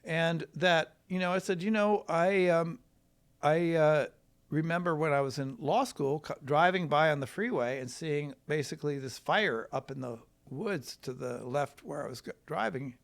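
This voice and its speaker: An American man from Wisconsin, a trial lawyer telling his story in a casual, rambling, folksy and halting way. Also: a little high, gravelly. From Wisconsin, United States.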